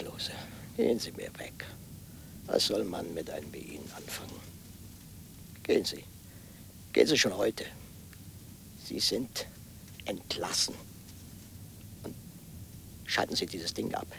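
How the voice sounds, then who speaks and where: A gruff, scornful hotel landlord in a radio drama. His lowish voice creaks and swings widely in pitch as he blusters and bosses people about. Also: sing-song, measured pace, pauses often, a bit bright, whispered, exaggerated voice. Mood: angry, scornful. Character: irascible, scolding male hotel landlord bossing an employee. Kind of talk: German radio play acting.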